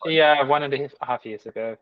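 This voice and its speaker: A man in his thirties from Switzerland with a German accent, a guest chatting casually in an interview, thoughtful and halting with frequent restarts. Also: medium pitch, narrow, tinny audio. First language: Swiss German. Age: 30s. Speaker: guest mathematician.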